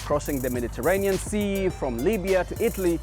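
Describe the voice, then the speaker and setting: A man's voice: high-pitched, expressive, a little fast, a little mellow, soft background music. A man hosting an entertainment news show, reading his script in an upbeat, sing-song way.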